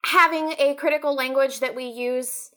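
A woman with a high, bright voice speaks as a roundtable panelist. She is thoughtful and deliberate, building her point in halting phrases. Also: steady tone. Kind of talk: thoughtful discussion.

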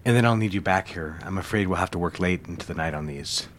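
Very deep-voiced, controlling male boss played by an actor. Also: slight background noise, lightly acted. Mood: businesslike, brisk. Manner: measured, insistent, commanding. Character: controlling, smooth male 1960s advertising office boss. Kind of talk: period audio drama acting.

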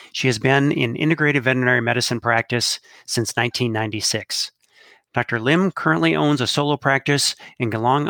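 A man's voice: mid-range voice, a bit bright, no room sound. A man hosting an interview, delivering introductions and questions to a guest. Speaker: interviewer and host.

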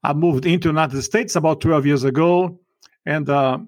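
A man's voice: a little high, steady tone, moderate pace, no echo. Man, Brazilian Portuguese accent, Portuguese native speaker, older, emphatic, fervent, dry sound. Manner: emphatic, fervent preaching tone with many restarts. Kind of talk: podcast interview.

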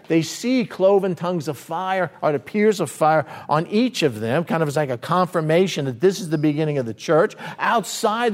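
Animated preaching man, fairly high voice. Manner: animated preaching with sudden swings in pace and loudness. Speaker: pastor. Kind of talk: sermon teaching on scripture.

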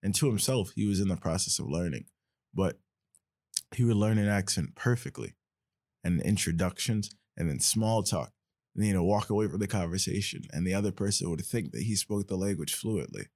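A man's voice: low voice, moderate pace, a little mellow, no room sound, clean studio sound. A young man hosting a podcast, telling a story in a reflective, casual way. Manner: reflective, storytelling, frequent fillers. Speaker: podcast host.

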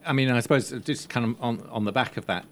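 A man's voice: medium pitch, fast, slight background noise. A man speaking as a panellist in a film discussion, reflective and analytical, feeling his way through his points. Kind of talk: post-screening panel discussion.

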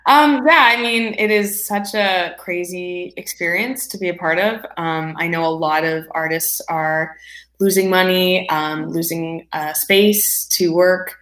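A woman's voice: lowish voice, unhurried, a bit bright. A young woman with a Canadian accent chatting casually as an interview guest from her home. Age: young adult. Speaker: guest, performing artist. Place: indoors at home. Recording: roomy, echoing home sound.

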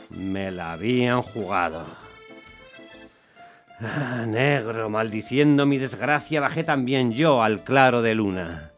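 A man's voice: mid-range voice, natural voice. An actor playing a weary, put-upon working-class taxi driver who tells his story in a colloquial, grumbling way in a radio drama. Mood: alarmed, rueful. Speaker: first-person narrator and lead actor. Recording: music under the voice. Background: music.

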